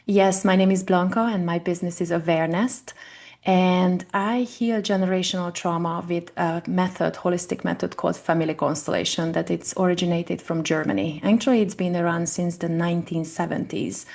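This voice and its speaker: A woman's voice: lowish voice, steady tone, a little fast, vocal fry, darkish tone. A woman in her forties from Hungary with a Hungarian accent, earnestly explaining her coaching work in an online interview, with small grammar slips of a non-native speaker. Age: 40s. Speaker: guest, family constellation coach. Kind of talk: interview answers. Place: home in Texas, online call.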